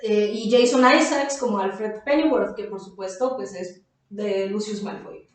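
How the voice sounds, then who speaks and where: A woman's voice: medium pitch, unhurried. Mexican woman chatting on a podcast, really echoey room, sits a bit far from the mic. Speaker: podcast host. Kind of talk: casual podcast chat.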